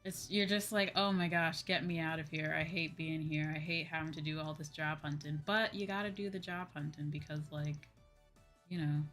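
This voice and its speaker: A woman's voice: low-pitched, steady tone, normal speed, fairly bright tone. A young woman, a livestream host chatting casually in an informal, rambling way and repeating phrases for emphasis. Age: young adult. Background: background music.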